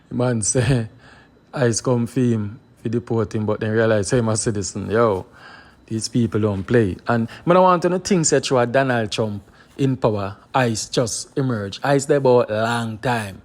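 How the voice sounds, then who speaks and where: Host chatting on a live stream. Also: male voice, medium pitch, unhurried. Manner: casual, chatty, lots of short backchannels. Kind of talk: live-stream call-in talk.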